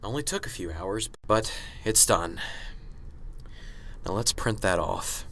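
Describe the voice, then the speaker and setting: A nervous, unsure professor in a radio drama, acted by a man. He mutters to himself slowly and hesitantly in a lowish voice. Noticeable noise and ambience sit behind him. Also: animated, a bit bright, exaggerated voice. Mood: nervous, muttering. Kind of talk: amateur radio drama acting. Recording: clearly noisy recording with ambience.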